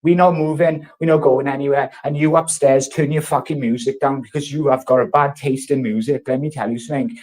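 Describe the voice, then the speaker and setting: A man's voice: mid-range voice, fairly even intonation, brisk, few pauses. A man in his thirties, from South Wales, ranting angrily to camera with constant swearing. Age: 30s. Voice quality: swears heavily, loud and confrontational. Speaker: vlogger. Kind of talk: angry rant to camera.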